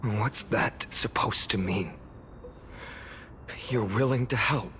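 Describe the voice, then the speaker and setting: A man voicing a solemn, weary hero in a video game, with measured, restrained delivery. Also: fairly low pitch, a bit bright, a little hiss or hum. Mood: calm, weary. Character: solemn, weary male hero, calm and resolute. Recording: long reverb, music over the voice.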